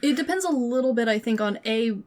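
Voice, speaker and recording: A woman's voice: fairly high pitch, expressive, fairly quick, a little mellow. A woman co-hosting a podcast in casual conversation, speaking in an informal, opinionated way. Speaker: podcast co-host. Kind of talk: casual chat, film and game discussion.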